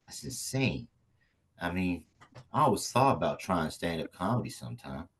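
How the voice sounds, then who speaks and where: A man's voice: low voice, very animated, moderate pace, vocal fry, husky, full character voice. A man playing a casual, foul-mouthed, gullible guy fishing for news in a comedy table read. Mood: incredulous.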